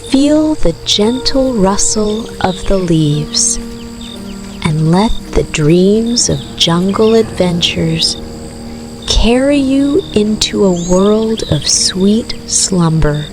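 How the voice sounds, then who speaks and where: Slow, soothing woman reading a bedtime story. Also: mid-range voice, animated. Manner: soothing, gentle, unhurried storytelling addressed to small children. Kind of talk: scripted bedtime story narration for toddlers. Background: music with rainforest night sounds of rain, crickets and frogs.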